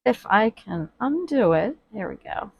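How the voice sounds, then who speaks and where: A woman's voice: a little high, sing-song, unhurried, vocal fry, breathy edge, dry recording, dull audio. Chatty woman murmuring over her craft. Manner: chatty, many fillers, quiet and murmured while working. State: sniffling from allergies. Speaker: reborn doll artist. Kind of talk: casual crafting tutorial vlog. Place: indoors at a worktable.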